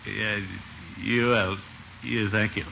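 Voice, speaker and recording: A man's voice: on the low side, flat delivery, slow pace, gravelly, sharp tone, exaggerated voice. An American actor playing a pompous, flustered middle-aged man who fancies himself a singer, in 1940s radio comedy style. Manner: pompous, blustering. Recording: muffled, noisy vintage radio recording.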